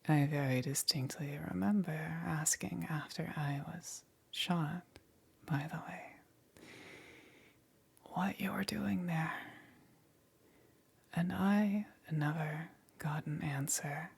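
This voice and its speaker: A woman playing a powerful demon queen, gentle yet calmly menacing, in a breathy whispered ASMR roleplay with long pauses. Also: deep voice, exaggerated voice. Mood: wistful, matter-of-fact. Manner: slow, soft, coaxing, with long pauses.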